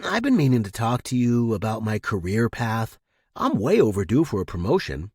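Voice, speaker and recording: Man playing a sly, husky office schemer. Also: medium pitch, sing-song, moderate pace, darkish tone, dry recording, clean studio sound. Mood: sly, smug. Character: scheming, smug young male office analyst. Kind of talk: narrated story character dialogue.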